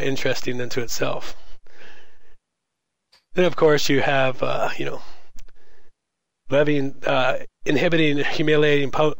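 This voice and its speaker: Man, podcast co-host, laid-back, agreeable, casual swearing, mid pitch, dry recording. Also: no room sound. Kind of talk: casual chat.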